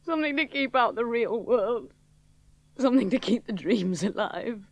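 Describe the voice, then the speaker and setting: An actress playing a woman aviator worn down by debt and a failing marriage, speaking in a brittle, sharp-tongued way that sinks into quiet despair. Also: on the high side, expressive, normal speed. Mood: grieving. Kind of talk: 1970s radio drama acting.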